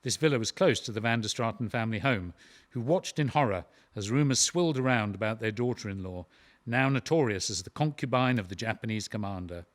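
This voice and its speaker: A man giving steady, scripted historical narration for a podcast drama. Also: on the low side, brisk. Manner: steady storytelling delivery.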